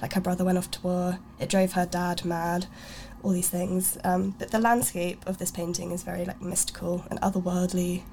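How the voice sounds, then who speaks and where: A woman's voice: fairly low pitch, level tone, normal speed, creaky, few pauses, darkish tone, slight background noise. A woman from Sweden hosting a casual art chat, chatty, with many fillers and restarts. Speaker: radio show host.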